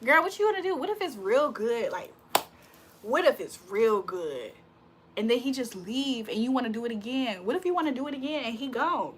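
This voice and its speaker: A woman's voice: a little high, big pitch swings, brisk, slight background noise. A woman doing a casual livestream tarot reading, chatting with viewers in slangy talk and reading their comments aloud.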